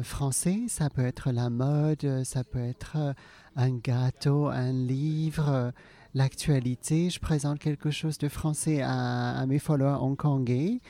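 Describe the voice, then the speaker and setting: A woman from Hong Kong, a native Cantonese speaker, speaks French with an accent that blends Alsatian and English tones. Her voice is mellow and dark. She pauses often and restarts, filling gaps with hesitations. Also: low voice. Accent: Hong Kong and Alsatian tinged French. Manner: hesitant, many fillers and restarts. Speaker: comedian guest. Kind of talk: interview answers.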